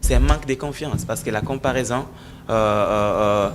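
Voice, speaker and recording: A man's voice: fairly low pitch, normal speed, slight background noise. A man from the Democratic Republic of the Congo, an author, talking earnestly in an interview with frequent hesitations. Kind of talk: conversational interview.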